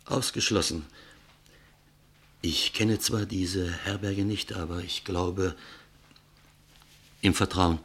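A middle-aged gentleman traveler in a radio play. His low voice is husky and rough-edged. He talks politely and at length, pausing hesitantly, careworn and distrustful of those around him. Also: fairly even intonation, full character voice. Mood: worried, confiding. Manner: polite, talkative, hesitant pauses. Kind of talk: German radio drama acting.

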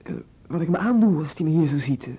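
Fast, husky, frightened teenage boy. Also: a little high, lively intonation, mellow. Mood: pleading panic. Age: young adult. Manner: hesitant, stammering, pleading. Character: frightened studious boy under police questioning, afraid of his father. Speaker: police suspect. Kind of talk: radio drama acting.

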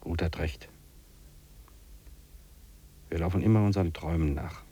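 An actor playing a weary, dreamy wilderness hiker in a radio drama, reflective and poetic, with a gravelly, husky voice. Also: male voice, low voice, normal speed, dark tone. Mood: solemn, reflective. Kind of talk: 1950s German radio drama acting.

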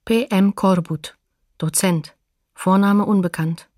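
A woman's voice: medium pitch, takes it slowly, a little mellow, no echo, studio quality. A woman announcing sources in a scripted documentary, factual and clipped.

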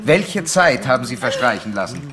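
An actor playing an insistent psychoanalyst who lectures a patient in formal, didactic, old-fashioned German radio drama style. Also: male voice, mid-range voice, big pitch swings, moderate pace, a crowd in the background, natural voice. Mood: insistent, analytical. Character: male psychoanalyst doctor, insistent, certain, lecturing his young patient.

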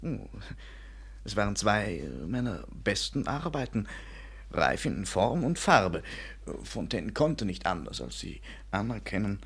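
Pompous touchy painter, creaky husky mid voice, old radio drama. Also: male voice, on the low side, big pitch swings, slow, full character voice. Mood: smug. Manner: haughty, pompous. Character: touchy, self-important male Parisian painter, newly puffed up. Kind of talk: 1950s German radio drama acting.

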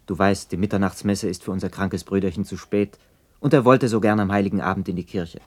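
A woman's voice: a bass voice, steady tone, quick pace, darkish tone, slightly rough. A woman playing a cheerful, helpful adolescent son in a radio play, polite and eager. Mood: friendly. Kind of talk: 1960s German radio play acting.

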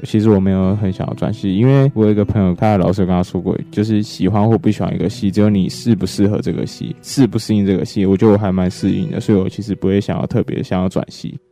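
A man's voice: fairly low pitch, steady tone, fast talker, hardly any pauses, warm tone, faint music behind. A young man with a Taiwanese accent answering casually as a student guest in an interview. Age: young adult.

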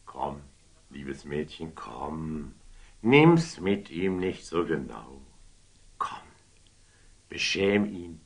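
An actor playing a warm, impulsive, generous sultan in a classic German radio drama, theatrical and coaxing. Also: male voice, extremely low pitch, big pitch swings, very slow, long pauses, breathy edge, full character voice. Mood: coaxing, playful. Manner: theatrical, coaxing, swinging from murmurs to exclamations.

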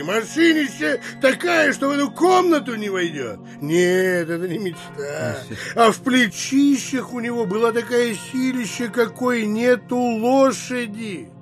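Bullish provincial landowner, high-voiced, emphatic and boastful, classic radio play, music behind. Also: male voice. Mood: stubborn insistence. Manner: emphatic, blustering, drawn-out boasting. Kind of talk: theatrical audio drama acting in Russian classic.

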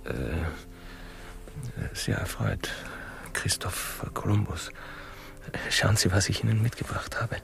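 A man's voice: on the low side, animated, slow, vocal fry, a bit bright, heavily acted. A man playing an eager, awkward, long-suffering Italian seafarer in a comic radio play. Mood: polite, hesitant.

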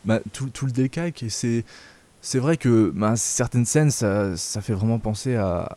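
A man's voice: mid-range voice, a little slow, warm tone. A man from France hosting a casual film review chat, hesitant and opinionated, with many fillers and restarts. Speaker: film review show host.

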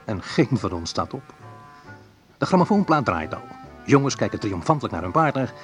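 A man's voice: mid-range voice, very animated, normal speed, soft background music, lightly acted. An actor playing a wistful, reflective man who recalls awkward teenage love in an intimate radio play monologue. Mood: tense recollection.

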